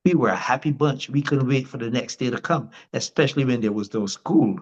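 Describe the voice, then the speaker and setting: A man's voice: mid-range voice, fairly quick, dull audio. An older man from Barbados with a Barbadian accent, telling his life story as a guest in a casual interview, rambling with many restarts.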